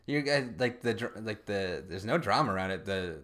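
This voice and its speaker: A young man co-hosting a casual comedy podcast. His voice is low but bright, with lively swings in pitch and a self-deprecating, jokey way of talking. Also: moderate pace. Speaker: podcast co-host.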